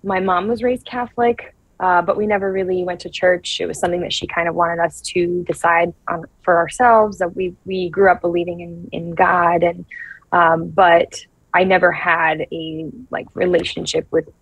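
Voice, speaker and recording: Woman from brazil, 30s, lowish voice, halting, lots of restarts, dry close mic. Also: steady tone, breathy edge, no echo, low-quality recording. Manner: thoughtful, halting with many restarts and fillers. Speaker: actress guest. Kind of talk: casual interview answers.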